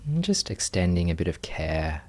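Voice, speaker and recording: A man leading a guided meditation as a teacher, speaking gently in short phrases with unhurried pauses. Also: deep voice, sing-song.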